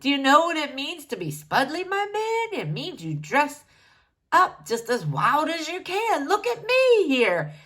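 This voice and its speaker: A woman's voice: high voice, huge pitch swings, unhurried, fairly bright tone, voice pushed up, exaggerated voice. A woman voicing a laid-back hippie shaman man who drawls slang in a storybook read-aloud. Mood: laid-back, reassuring. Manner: drawling slang, calling listeners dude.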